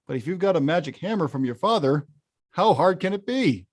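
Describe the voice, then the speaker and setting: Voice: mid-range voice, lively intonation, a little fast, close to an everyday voice. An actor playing a huge, hot-tempered, weary arcade-game villain who confides wryly and grumbles conversationally in a table read. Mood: begrudging, boastful. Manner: conversational, grumbling, bursts into bellows. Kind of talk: table-read acting, confessional voice-over.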